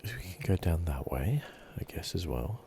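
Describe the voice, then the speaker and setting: A soft-spoken man giving unhurried, understated ASMR commentary on a video game, often slipping into a whisper. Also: sits low, sing-song, gravelly, a little hiss or hum. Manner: unhurried, understated musing to himself. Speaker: gaming channel host.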